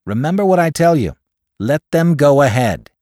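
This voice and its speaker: Man voicing a clipped, insistent, high-pitched advising friend. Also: sing-song, normal speed, darkish tone, no room sound, clean studio sound. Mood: brisk, insistent. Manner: clipped, exact, persuasive. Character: clipped, coolly logical male friend advising by phone.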